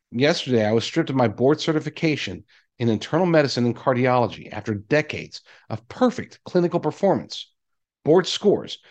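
A man's voice: mid-range voice, darkish tone. Man giving emphatic news commentary. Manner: emphatic, deliberate repetition of key phrases. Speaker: commentary show host. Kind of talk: news commentary, reading an article aloud with asides.

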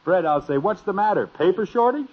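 A stubborn suburban American dad in a vintage radio sitcom. His middle-aged voice swings widely and has a husky edge. He is blustery and teasing, heard through a muffled old broadcast. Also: high voice, animated, a little fast. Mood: gleeful, teasing. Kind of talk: 1950s radio comedy acting.

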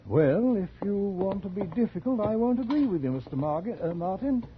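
Casting: genteel scholar-blackmailer, vintage radio thriller, highish lilting voice, polite menace, muffled old recording. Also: male voice, lively intonation, a little fast. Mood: sly, menacing. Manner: polite, precise, insinuating. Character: scholarly male collector, genteel, sly, quietly menacing blackmailer. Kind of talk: 1940s radio drama acting. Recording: dull, slightly noisy 1940s radio recording.

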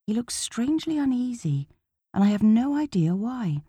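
A woman reading a first-person novel as an audiobook narrator, in an expressive, conversational storytelling way. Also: a little high, normal speed, breathy edge, no room sound.

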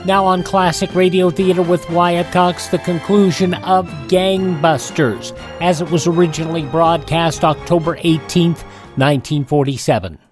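A man's voice: a little high, steady tone, moderate pace, over music. A man hosting a radio program with scripted announcements.

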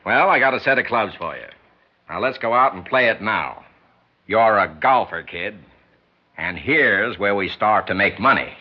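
An actor playing a slick, pushy sports manager in a 1960s radio drama, brisk and persuasive in a folksy salesman's way. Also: lowish voice, lively intonation. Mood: pushy, upbeat. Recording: muffled, faintly noisy 1960s radio recording.

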